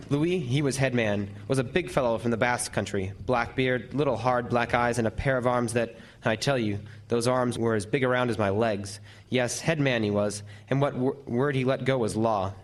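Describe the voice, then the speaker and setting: A man's voice: medium pitch, a little hiss or hum, natural voice. A man playing a lighthouse keeper who tells his tale as dramatic first-person narration in old-time radio horror style. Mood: brooding, vivid. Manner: vivid, deliberate storytelling with lingering descriptions. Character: lighthouse keeper recounting a frightful night.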